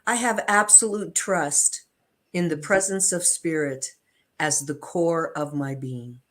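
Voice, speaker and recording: A woman leading affirmations and reading an inspirational text aloud in measured, deliberate phrases. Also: sits low, a bit bright.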